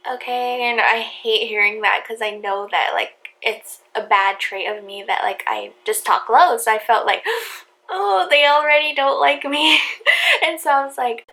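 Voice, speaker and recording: A quiet, soft-spoken young woman chatting to her camera about her past. Her voice sits a little high, and she talks in a loose, rambling way, stacking up fillers. She laughs lightly between phrases. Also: unhurried. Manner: filler-heavy, lots of "like" and "you know". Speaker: vlogger. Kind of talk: casual storytelling to camera.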